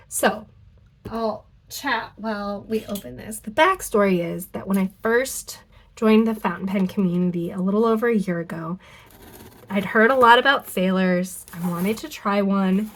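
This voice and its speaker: A woman's voice: medium pitch, low-quality recording. Congested woman chatting about pens. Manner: chatty, rambling, self-correcting with many ums and likes. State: nasal and congested from a cold, losing her voice. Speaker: fountain pen vlogger. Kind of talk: casual unboxing chat to camera. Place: home craft studio.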